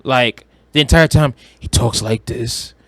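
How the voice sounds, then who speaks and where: High-voiced American man, casual podcast talker. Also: measured pace, no echo. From Detroit, United States. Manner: animated storytelling, slangy, profane, joking. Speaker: podcast co-host. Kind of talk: casual movie chat.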